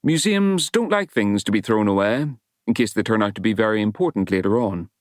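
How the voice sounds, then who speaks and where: A man reading a novel as an audiobook narrator, in a wry storytelling style with measured, dramatic emphasis. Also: lowish voice, lively intonation, dry recording, studio quality.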